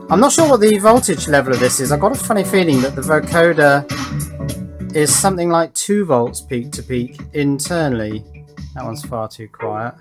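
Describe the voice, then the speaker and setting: Man thinking aloud, music behind. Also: fairly high pitch. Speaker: electronics hobbyist demonstrator. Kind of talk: casual unscripted demonstration commentary.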